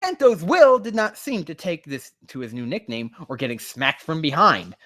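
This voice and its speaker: A man's voice: fairly high pitch, sing-song, normal speed, a bit bright, no room sound, clean studio sound. A man hosting a sarcastic reaction reading of fan fiction, with a profane, mocking manner prone to exasperation. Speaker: host.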